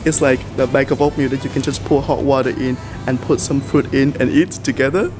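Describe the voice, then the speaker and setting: A man's voice: mid-range voice, fairly quick. A man in his twenties from Vietnam, chatting to camera in a casual vlog about his life. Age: 20s. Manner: chatty, animated storytelling to camera. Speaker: vlogger. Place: apartment room indoors, then city streets and a shop. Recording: background music under the voice.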